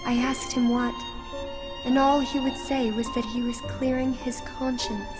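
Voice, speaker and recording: A woman playing a gentle, wistful teenage girl in a video game, speaking softly and solemnly. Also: fairly high pitch, flat delivery, measured pace, over music, natural voice. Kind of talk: video game cutscene acting.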